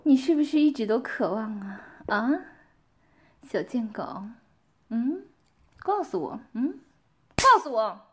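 A woman playing a domineering, scornful mistress who gives commands and taunts, sometimes dropping to breathy whispers. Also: fairly high pitch, sing-song, slow pace, long pauses, fairly bright tone, full character voice. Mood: commanding. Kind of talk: roleplay audio drama.

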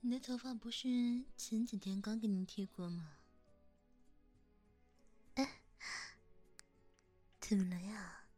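A woman in a whispered ASMR roleplay, gently coaxing a younger boy as his hairdresser, in a soft big-sister tone, close to the microphone. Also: mid-range voice, slow, long pauses, soft background music.

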